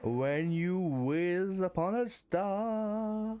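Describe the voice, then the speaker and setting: A man's voice: high voice, extremely slow, warm tone, slight background noise. A man reacting casually and jokingly as host of a video game playthrough. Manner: joking, playful.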